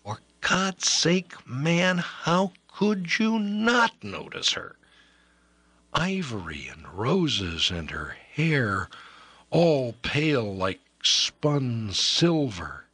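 A man's voice: a little high, sing-song, drawn-out words, pushed and bright, husky, dry recording, pitched above the performer's own voice, heavily acted. A man voicing an impassioned young man in love, pleading urgently.

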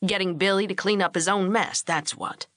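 A woman's voice: mid-range voice, moderate pace, a bit bright, no echo, studio quality, close to an everyday voice. A woman voicing an older male ranch patriarch in a storybook, scheming and firm with easy authority. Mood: assured, scheming.

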